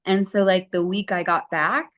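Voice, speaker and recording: A woman in her forties, a singer being interviewed, telling her story in a chatty, animated way. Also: mid-range voice, moderate pace. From Southern California. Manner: chatty, animated, acts out quotes.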